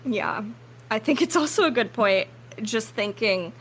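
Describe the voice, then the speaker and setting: A woman's voice: on the high side, lively intonation, a little slow, slightly rough, low-quality recording. A woman co-hosting a podcast in casual chat, musing in a playful way. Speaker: podcast co-host.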